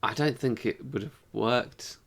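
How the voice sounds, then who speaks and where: A man's voice: mid-range voice, a little slow, gravelly, husky. A man co-hosting a podcast in a casual, informal chat. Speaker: podcast co-host.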